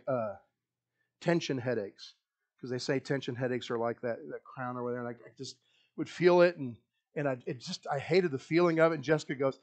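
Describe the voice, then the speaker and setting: A middle-aged man with a Kentucky accent preaches in a small church hall, pacing the low stage with a handheld mic. His voice sits high for a man, and he speaks in an animated way, often circling back to repeat his words. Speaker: pastor. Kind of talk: lively sermon. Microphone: handheld mic, filmed by a far wide camera.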